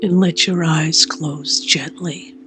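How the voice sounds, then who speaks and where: A woman leading a guided meditation in a soft, gentle, sometimes breathy voice, speaking in short phrases with long pauses. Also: low-pitched, steady tone, background noise. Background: meditation music.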